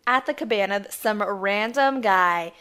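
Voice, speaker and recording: A woman in her twenties co-hosting a podcast, a bit hoarse from losing her voice, telling stories in a chatty way and laughing often. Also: medium pitch, measured pace, sharp tone. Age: 20s. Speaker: podcast co-host. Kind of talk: casual chat.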